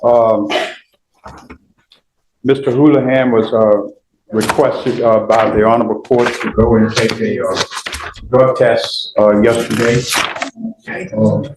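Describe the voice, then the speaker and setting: A man, a defense lawyer, addressing a judge formally and deferentially in a halting way. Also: fairly low pitch, fairly even intonation. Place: indoor courtroom. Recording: echoey courtroom, clearly noisy background.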